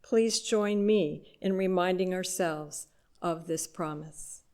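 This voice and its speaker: A woman's voice: on the low side, slow pace, darkish tone, clean tone. A woman worship leader giving a scripted liturgical address to a congregation.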